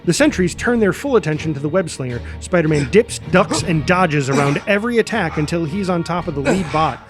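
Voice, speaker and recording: A man with a high voice narrates an action screenplay. He reads the directions energetically and punchily, with faint music behind him. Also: fairly quick. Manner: energetic, punchy sound-effect words. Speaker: narrator reading stage directions. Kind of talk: dramatic scripted narration of a superhero screenplay.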